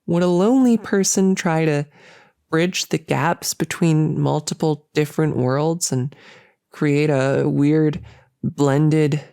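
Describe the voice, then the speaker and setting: A woman playing a nervous, lonely cultist who summons demons, in an intimate sleep-aid roleplay, stammering and rambling softly with breathy edges into a close microphone. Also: deep voice, no room sound. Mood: defensive, flustered. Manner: flustered, halting, stammering, rambling. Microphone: close, dry microphone.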